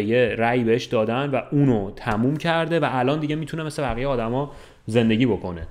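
A man's voice: medium pitch, darkish tone. A man in his twenties talking casually and colloquially, explaining his view and checking that the listener follows. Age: 20s. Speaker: podcast co-host. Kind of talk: casual chat. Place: room with large window, indoors. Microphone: desk microphone.

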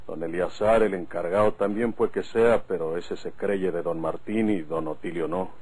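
An actor playing a brooding, insistent bandit with a rural northern Mexican accent in an old radio drama. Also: male voice, sits low, normal speed, a little mellow. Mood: guarded. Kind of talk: golden-age radio drama acting.

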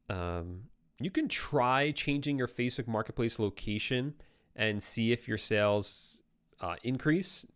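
A young man hosting a casual live stream, reading viewer questions aloud and answering them, his voice tired and going hoarse, on a close studio microphone. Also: medium pitch, slow pace. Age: young adult. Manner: relaxed, conversational, reads viewer comments aloud. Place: home studio with brick wall. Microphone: boom-arm studio microphone close up.

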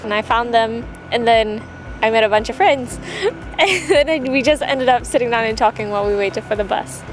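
A woman's voice: on the high side, normal speed, rough recording. Chatty young woman over music. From Malaysia. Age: young adult. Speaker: international student. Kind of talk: casual testimonial. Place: outdoor campus courtyard.

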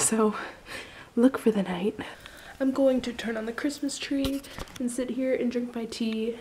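A woman's voice: fairly high pitch, slight background noise. Stuffy-nosed young woman vlogging. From Canada. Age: young adult. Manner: chatty, rambling, quiet at night. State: stuffy nose from a cold. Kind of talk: casual daily vlog. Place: bedroom, car and living room at home. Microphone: handheld camera at arm's length.

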